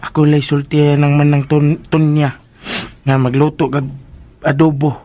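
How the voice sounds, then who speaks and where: An actor with a Filipino accent playing an excitable, fussy male household helper in a radio drama, with a husky voice. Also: a little high, a little slow, close to an everyday voice. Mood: grumbling.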